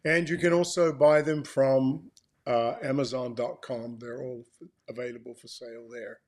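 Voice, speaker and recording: Older man, mid-pitched, matter-of-fact. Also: level tone, normal speed. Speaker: author co-hosting a welcome video. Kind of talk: informal informational talk.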